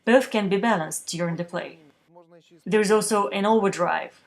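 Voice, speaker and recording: A woman reading a translated news voice-over at a measured, steady pace, recorded in a booth. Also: mid-range voice. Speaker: voice-over interpreter for a news feature.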